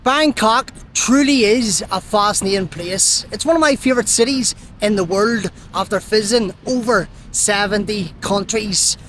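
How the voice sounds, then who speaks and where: A man's voice: high voice, moderate pace, few pauses, a bit bright. Belfast male travel vlogger, upbeat presenter, walking and talking outside, faint traffic hum. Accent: Belfast Northern Irish. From Northern Ireland. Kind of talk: travel vlog narration and interview. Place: outdoors in a city.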